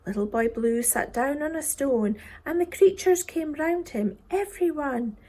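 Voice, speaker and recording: A woman reading a children's poem expressively, with a theatrical manner that dips into hushed tones. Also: sits high, expressive, measured pace. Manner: theatrical, dropping into hushed whispers. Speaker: story reader.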